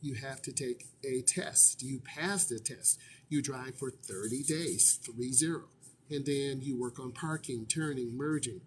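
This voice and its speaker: A man's voice: mid-range voice, unhurried, a little hiss or hum. Chatty man answering questions live. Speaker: driving instructor. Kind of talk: casual live-stream Q&A.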